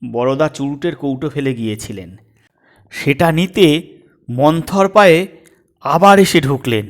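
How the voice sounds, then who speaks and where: A man's voice: a little high, a little slow. A man narrating a scripted comic story as a storyteller.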